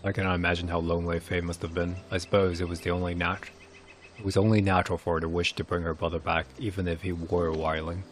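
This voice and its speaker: Guy doing a low voiced old fantasy elder, solemn and kindly, slow careful old-timey wording, noisy background. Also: level tone, close to an everyday voice. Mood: solemn, regretful. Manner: grave, archaic, deliberate phrasing. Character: weary, solemn old male village elder, gentle with children. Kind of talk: narrated fantasy game cutscene dialogue. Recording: clear background noise.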